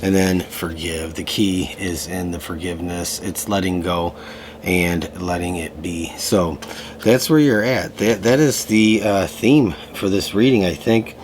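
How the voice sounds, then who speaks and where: Low-voiced man with a slight cough. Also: unhurried, a little hiss or hum. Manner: relaxed, loose, full of fillers and restarts. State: slightly hoarse with a lingering cough. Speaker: tarot reader. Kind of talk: casual tarot reading to camera. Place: room at home.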